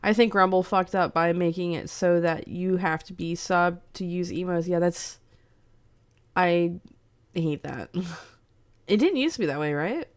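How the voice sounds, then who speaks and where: A young woman streamer chats casually with her viewers in an unhurried, drawn-out way. Her voice sounds congested and sniffly from a lingering cold. She greets people by name and laughs easily between thoughts. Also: on the low side, smooth. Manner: slow, chatty, greets chat by name, laughs often. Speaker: streamer host. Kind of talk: casual livestream chat.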